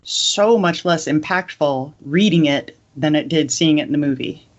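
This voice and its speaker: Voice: medium pitch, normal speed. A podcast co-host, talking casually in a fan discussion. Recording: dull, lo-fi microphone sound.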